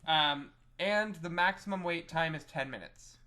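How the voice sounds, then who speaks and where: A man's voice: on the high side, measured pace, bright, forceful tone, smooth. A man casually going over gaming news as a podcast co-host.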